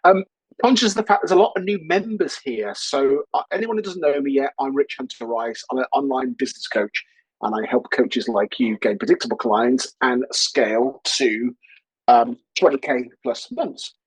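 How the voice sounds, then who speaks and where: A man's voice: mid-range voice, moderate pace, slightly rough, dry recording, rough recording. A man hosting a live webinar as an online business coach, upbeat and chatty, from a cold home office over a video call.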